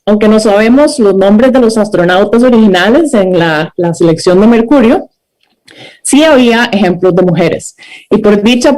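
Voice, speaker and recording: A woman's voice: medium pitch, dark tone, rough recording. A Costa Rican woman in her forties giving an earnest, story-driven talk over a video call, with frequent hesitant fillers. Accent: Costa Rican Spanish. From Costa Rica. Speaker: scientist speaker.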